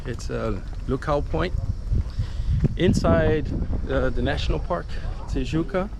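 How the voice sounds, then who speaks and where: A young Brazilian man with a Brazilian Portuguese accent, speaking a little higher than most men. He guides a visitor around in enthusiastic casual chat, pausing on fillers. The outdoor city recording carries clear street noise behind him. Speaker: local guide.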